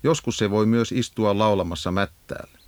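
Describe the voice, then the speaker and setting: Low-voiced man narrating a nature guide. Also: a little mellow. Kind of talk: scripted educational narration. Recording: 1980s audio cassette narration.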